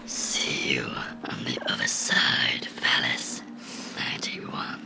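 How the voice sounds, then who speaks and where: A performer in a horror ASMR role-play voicing a vengeful fictional cartoon character, whispering with slow, deliberate menace and a gravelly edge. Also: lightly acted. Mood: cruel, menacing. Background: music.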